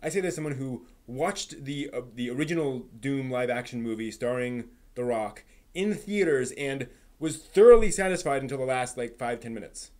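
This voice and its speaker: A man hosting a casual live stream, thinking aloud in a halting, rephrasing way while giving emphatic opinions. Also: mid-range voice, expressive, darkish tone. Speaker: livestream host. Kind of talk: casual live-stream chat, answering viewers' comments.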